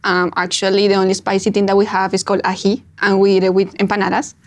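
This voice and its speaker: A woman from Bogotá with a Colombian Spanish accent, a guest explaining things in a casual, measured way. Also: mid-range voice, monotone. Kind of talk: casual interview chat.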